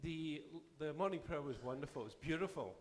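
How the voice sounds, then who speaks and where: A man serving as bishop, delivering a formal address to a church assembly while losing his voice, with a measured pace and sentences that trail off. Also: a little high, lively intonation, a little hiss or hum. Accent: Canadian.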